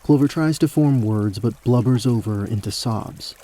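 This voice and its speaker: A man's voice: mid-range voice, normal speed, dark tone, slight background noise. A man delivering scripted narration for a fantasy audio drama as its storyteller.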